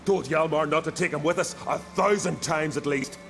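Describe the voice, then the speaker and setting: Earnest young island warrior. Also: male voice, fairly high pitch, normal speed, gravelly, a bit bright, faint music behind. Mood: rueful, annoyed. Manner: earnest, colloquial storytelling. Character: loyal, hot-headed young Norse-style island warrior. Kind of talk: dramatic video game voice acting.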